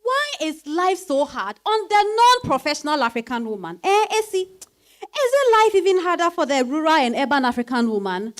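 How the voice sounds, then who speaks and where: A woman's voice: sits high, very animated, normal speed. A woman with a Ghanaian accent playing a frank, worldly woman friend in an emphatic dramatized stage reading. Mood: probing. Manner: emphatic, exclamatory, sisterly.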